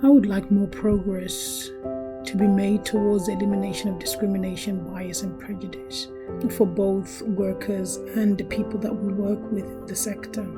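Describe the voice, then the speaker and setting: A woman's voice: medium pitch, little pitch movement, normal speed. A woman social worker speaking earnestly in an interview. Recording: music bed behind voice. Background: instrumental music.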